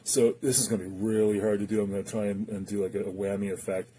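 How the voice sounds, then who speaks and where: A man giving a casual, unscripted product review as a guitar pedal reviewer, speaking in a hesitant, halting way with thoughts that trail off. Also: on the low side, level tone.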